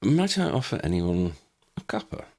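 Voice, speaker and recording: A man voicing a calm, courteous old man in an audiobook, speaking in a measured, formal and unruffled way. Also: lowish voice. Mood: genial. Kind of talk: audiobook character voice.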